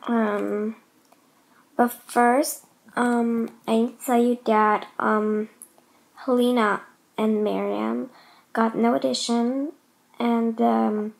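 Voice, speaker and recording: A woman's voice: a little high, flat delivery, slow pace, creaky, pauses often. A young woman making a casual announcement to viewers in a hesitant, rambling way, often repeating herself. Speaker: host of a fan voice-acting channel.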